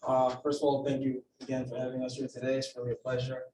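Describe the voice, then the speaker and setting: A man's voice: medium pitch, completely flat delivery, fast. Male, 20s, from Tanzania, polite and earnest introduction, distant echoey mic, faint hum. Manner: courteous, earnest. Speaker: guest photographer.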